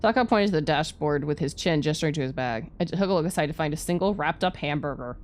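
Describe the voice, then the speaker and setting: A woman's voice: low-pitched, expressive, fast, a little hiss or hum. A woman streaming a game, reading story text aloud and dropping in casual, sweary asides. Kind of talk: let's play, reading visual novel text aloud with casual commentary. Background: background music.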